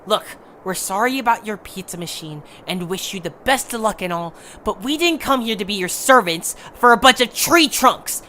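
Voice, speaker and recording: A woman's voice: mid-range voice, expressive, normal speed, hardly any pauses, sharp tone. A woman voicing a blunt, defiant young adventurer with a sarcastic manner in an audio drama. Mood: indignant. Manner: sarcastic, combative. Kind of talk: cartoonish audio drama acting. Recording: faint background noise.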